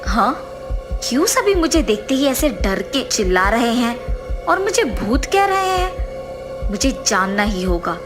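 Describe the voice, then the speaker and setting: High-pitched, earnest ghost wife voiced by a woman. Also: sing-song, a little slow, music in the background. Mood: puzzled, alarmed. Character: remorseful ghost of a greedy dead wife, anxious, pleading.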